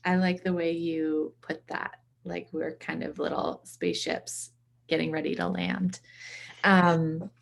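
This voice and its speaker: A woman's voice: fairly low pitch, little pitch movement, unhurried, creaky. A woman from the US East Coast, speaking as an interview guest in casual, reflective conversation. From from the US East Coast, around Boston.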